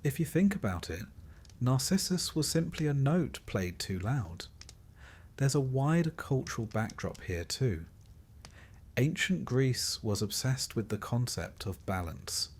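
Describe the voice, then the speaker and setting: A man narrating a scripted sleep story in a calm, measured manner with dry, wry touches and a husky voice. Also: mid-range voice.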